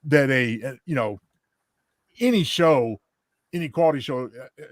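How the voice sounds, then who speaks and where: A man with a mid-pitched voice, recorded dry and close, in casual podcast talk. He phrases things in a wordy, mock-formal way as he co-hosts. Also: moderate pace, no echo. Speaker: podcast host. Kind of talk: casual chat.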